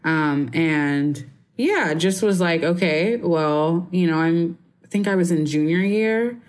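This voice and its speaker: A woman's voice: on the low side, slow pace. A woman in her twenties from Barbados, chatting casually as an interview guest, rambling and filling her sentences with "like" and "um". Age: 20s. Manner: relaxed, rambling, heavy use of "like" and "um".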